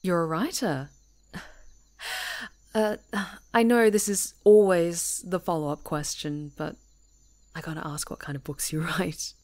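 A woman voicing a friendly young woman camping alone on a retreat, chatty and teasing and quick to laugh, in a scripted audio roleplay. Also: lowish voice, fairly even intonation, a little mellow, close to an everyday voice. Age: young adult. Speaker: ASMR roleplay performer.

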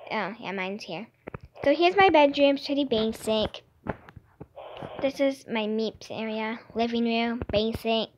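A high-voiced female gaming host chats casually through her play, in an informal vlog style. The recording has clear noise and clicking behind her. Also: measured pace, highs cut off. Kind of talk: casual gameplay chat. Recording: noisy home recording with clicks.

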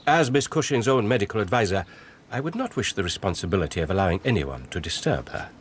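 A male actor plays a guarded, dismissive Victorian physician for radio drama. The voice is deep and animated in pitch, formal in manner, over faint ambience. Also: a little hiss or hum, natural voice. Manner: formal, measured. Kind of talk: British radio drama acting.

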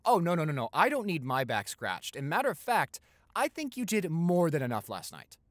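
A man's voice: fairly high pitch, huge pitch swings, normal speed, a bit bright, no echo, clean studio sound. A man playing an affectionate, easily flustered young boyfriend in an improvised intimate roleplay, chatty and tender, stammering when flustered. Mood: playful, teasing.

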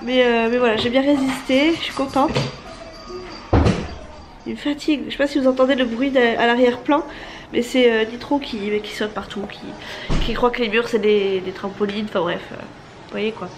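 A woman's voice: a little high, fairly even intonation, normal speed, background noise. A woman in her thirties with a stuffy nose from a cold, chatting to a handheld camera at home in a rambling way. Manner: chatty, rambling, many restarts and fillers. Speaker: family vlogger. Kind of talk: casual vlog chat to camera. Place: apartment, indoors. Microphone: handheld camera at arm's length.

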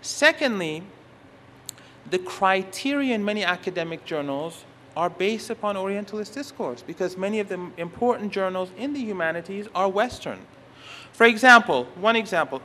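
A middle-aged man whose first language is Persian, giving an academic conference talk, halting often and restarting his phrases. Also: high voice, sharp tone. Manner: halting, restarts phrases, stresses key words. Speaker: conference speaker. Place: university conference hall.

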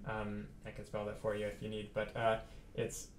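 A man's voice: on the low side, moderate pace, a little hiss or hum. Man chatting between tunes, echoey room. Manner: relaxed, thoughtful, many fillers and restarts. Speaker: pianist. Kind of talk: casual talk between tunes. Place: living room house concert, small audience.

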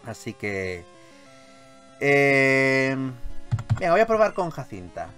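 A man with an accent from Spain doing excitable, theatrical game commentary, swearing freely and repeating his phrases. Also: medium pitch, slow pace, clean tone, faint music behind. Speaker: gameplay video host.

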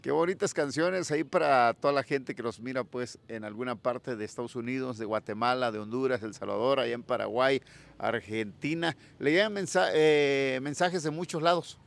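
A Mexican man hosting a casual street interview in a town plaza, friendly and sending shout-outs to viewers, holding a handheld mic. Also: mid-range voice, moderate pace, vocal fry. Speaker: interviewer, channel host. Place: town plaza outdoors at dawn.

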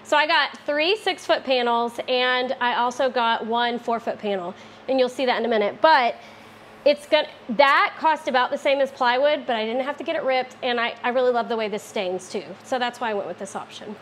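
A woman in her thirties hosting a casual woodworking tutorial in her home garage, chatty and quick to correct herself. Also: a little high, a little hiss or hum. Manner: chatty, self-correcting, laughs often. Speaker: DIY woodworking host.

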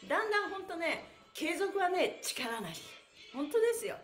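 A woman's voice: very high-pitched, very animated, normal speed, faint music behind. A middle-aged woman leading a live-streamed stretch class, chanting counts cheerfully and chatting with viewers. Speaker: stretch instructor. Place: small indoor studio room. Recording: echoey room.

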